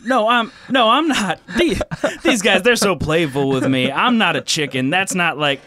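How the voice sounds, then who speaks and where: A man playing a cowardly, whiny western sheriff, bright and animated. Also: high voice, unhurried, no echo, exaggerated voice. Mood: flustered, defensive. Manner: folksy drawl, defensive, profane. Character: cowardly, whiny small-town western sheriff in his mid-thirties. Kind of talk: improvised comedic western role-play.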